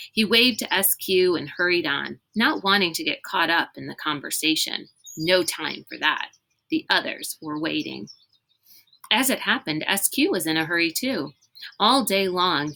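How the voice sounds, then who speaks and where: Female storyteller, lowish pitch, bright forward tone, expressive children's book narration with suspenseful emphasis. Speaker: reader reading a book aloud. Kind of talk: expressive read-aloud narration of a children's novel.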